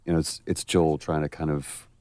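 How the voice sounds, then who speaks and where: A man's voice: extremely low pitch, level tone, moderate pace, mellow. A man, an actor, giving casual, reflective and appreciative audio commentary on his game.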